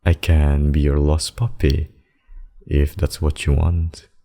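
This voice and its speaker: Male actor for demon king lover: very deep, unhurried, tender and protective, formal endearments, intimate ASMR delivery. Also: level tone, creaky, dark tone, full character voice. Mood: playful, affectionate. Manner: slow, formal, doting speech with endearments. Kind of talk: intimate ASMR roleplay acting.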